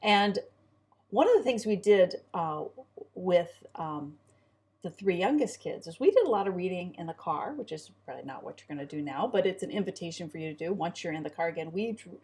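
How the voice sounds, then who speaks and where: A woman's voice: lowish voice, lively intonation, fairly quick, a little mellow, husky. A middle-aged woman chatting casually as a co-host and homeschooling parent. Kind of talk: casual conversational talk.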